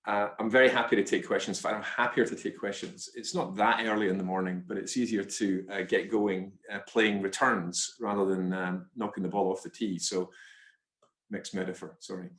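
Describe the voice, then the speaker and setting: A man's voice: deep voice, little pitch movement, normal speed, fairly bright tone, echoey room. A man with a congested, stuffy nose, presenting to investors over a video call in a chatty, self-deprecating way, with many ums and restarts. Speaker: fund manager presenting a webinar.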